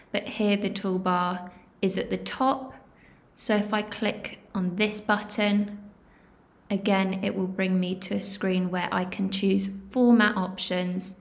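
A woman instructor narrating a software tutorial in a measured, step-by-step manner, with pauses. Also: medium pitch, vocal fry. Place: indoor screen-recording voice-over.

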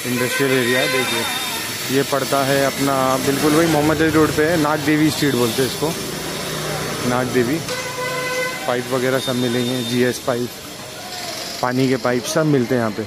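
Male street vlogger, Mumbai accent, mid-pitched, informal and repetitive tour-guide delivery, recorded outdoors amid heavy traffic noise. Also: steady tone, moderate pace, pauses often. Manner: informal, repetitive, pointing things out as he goes. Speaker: vlogger guiding a market tour. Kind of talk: casual walking-tour commentary. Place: outdoors on a busy market street. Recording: loud street traffic and ambience. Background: traffic and urban street noise.

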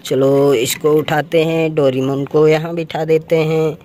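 A man narrates toy play for small children in a high voice. He takes his time, exclaiming and repeating little interjections in a sing-song way. There is clear ambient noise behind him. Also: little pitch movement, measured pace, warm tone. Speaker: toy channel presenter.

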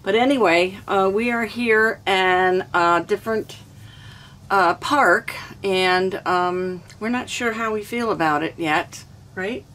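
An older woman with a hoarse throat, chatting casually through a cooking vlog in a small camper kitchen. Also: mid-range voice, slow, a bit bright. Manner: chatty, homey, rambling. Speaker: cooking vlogger.

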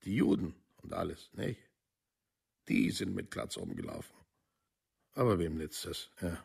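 Radio play, middle-aged East German man, plain-spoken, colloquial, very raspy, thick fry. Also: low-pitched, huge pitch swings, darkish tone, heavily acted. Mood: exasperated. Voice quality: gravelly, husky. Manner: colloquial, halting, seeking agreement. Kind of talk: documentary radio play monologue.

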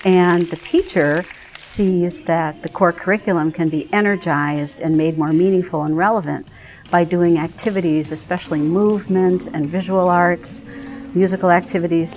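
Low-pitched middle-aged American woman, thoughtful. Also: fairly even intonation, normal speed, darkish tone, soft background music. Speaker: arts education program representative. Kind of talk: interview testimonial, conversational. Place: indoors in front of a curtain.

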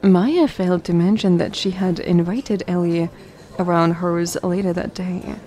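A woman's voice: lowish voice, fairly even intonation, measured pace, mellow. A woman reading scripted narration for an audio drama.

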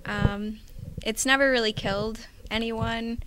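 A woman's voice: on the high side, steady tone, measured pace, a bit bright, rough recording. A woman in her twenties giving tentative, casual interview answers into a handheld microphone. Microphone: handheld microphone held out by interviewer. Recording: faint background noise, clicks.

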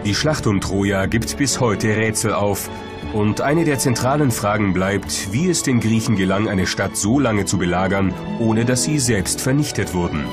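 A man's voice: on the low side, expressive, moderate pace. A man reading scripted documentary narration as the narrator of the programme. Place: studio voice-over. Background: prominent background music.

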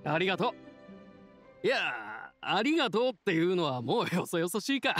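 An actor voicing a humble, earnest young boat captain in a video game, plain-spoken and sincere. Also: male voice, high voice, very animated, normal speed, a bit bright, faint music behind. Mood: relieved, friendly. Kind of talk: video game dialogue acting.

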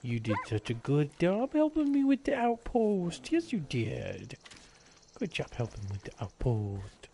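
Playful, slow-paced male game streamer. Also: on the high side, wildly swooping pitch, mellow, a little hiss or hum. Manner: playful, talks to the game and its characters. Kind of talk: casual live gameplay commentary.

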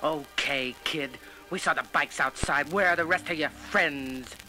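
A gruff gangster mother. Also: sits low, measured pace, sharp tone, voice pushed down. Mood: menacing. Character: gruff middle-aged gangster mother, menacing. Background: music.

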